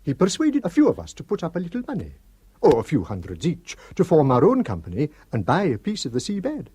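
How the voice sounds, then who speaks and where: An actor playing an earnest Shetland father in a radio drama, speaking in a measured way. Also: fairly high pitch, wildly swooping pitch, mellow, breathy edge, full character voice. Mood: explaining. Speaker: islander on the committee.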